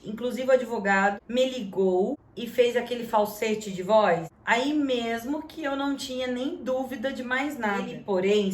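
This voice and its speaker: A Brazilian woman hosting a channel, telling a story straight to her viewers in an indignant tone and reading out messages. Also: a little high. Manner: indignant, talks straight to the audience. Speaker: channel host. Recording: roomy echo.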